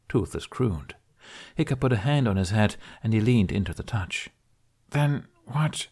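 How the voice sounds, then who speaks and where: Calm, low-voiced male storyteller. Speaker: podfic reader. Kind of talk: storybook narration.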